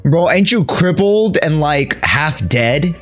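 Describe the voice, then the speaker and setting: A man's voice: on the high side, expressive, takes it slowly, a bit bright, faint music behind. A man casually chatting as a game streamer over gameplay, slangy and teasing.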